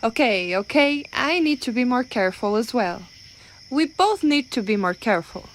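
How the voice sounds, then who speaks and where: A woman playing a clumsy, well-meaning giantess in an ASMR roleplay, rambling and hesitant but gently reassuring. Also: on the high side, animated, moderate pace, a little hiss or hum, natural voice. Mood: flustered scolding.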